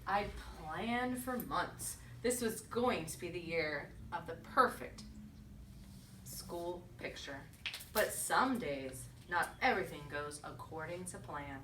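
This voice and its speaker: A woman's voice: on the low side, expressive, slow pace. A woman teacher reading a picture book aloud to children, encouraging them with questions and repeating their answers. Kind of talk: storybook read-aloud with conversational questions to children. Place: echoey indoor room with children. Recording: echoey room, distant mic, faint noise.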